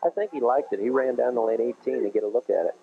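A man's voice: medium pitch, fast talker, gravelly, slightly rough. A man with a Midwestern American accent doing TV bowling commentary, folksy and explaining the game to viewers. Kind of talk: TV sports commentary. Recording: dull lo-fi 1980s TV broadcast.

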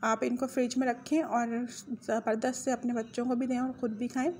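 A woman's voice: on the high side, level tone, moderate pace, darkish tone, a little hiss or hum. A woman hosting a home cooking video, chatting her way through a recipe and correcting her own measurements as she goes. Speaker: home cooking channel host. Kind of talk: casual recipe walkthrough. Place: home kitchen.

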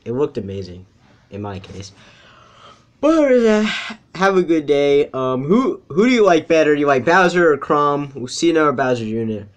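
A young man hosting a reaction video with casual commentary. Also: medium pitch. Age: young adult. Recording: loud video music behind the voice.

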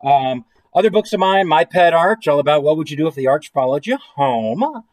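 A man's voice: a little high, lively intonation, moderate pace, no room sound. Playful American man with a dry, close sound. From St. Louis area, United States. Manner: playful, chatty, addressing listeners directly. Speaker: children's author and illustrator reading his book. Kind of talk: children's story time read-aloud with trivia quiz. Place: small room at home, indoors.

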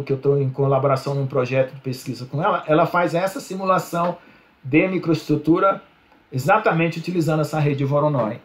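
A middle-aged Brazilian man with a Brazilian Portuguese accent gives an online slide lecture. He explains step by step, often adds "né?" and corrects himself mid-word. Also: on the high side. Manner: explanatory, frequent "né?" tags, self-corrections. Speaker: university lecturer. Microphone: video call.

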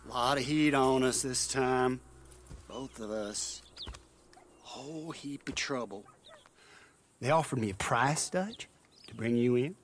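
A man's voice: medium pitch, takes it slowly, pauses often, a little hiss or hum, close to an everyday voice. An actor playing a sly rival gang boss in a Western game, mocking and needling as he talks. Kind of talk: game cutscene dialogue.

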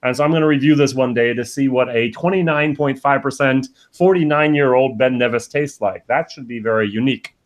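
A man's voice: mid-range voice, a little fast, hardly any pauses. A man in his forties, born in the United States, hosting a casual video-call chat from home. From born in the United States, living in Germany. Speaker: host of a whisky review channel. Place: small room at home lined with bottle shelves.

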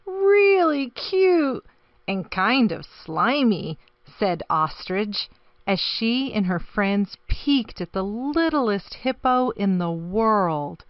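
A woman reading a children's picture book aloud expressively, with deliberate, dramatic phrasing. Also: mid-range voice, sing-song, dry recording, muffled recording. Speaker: storybook reader.

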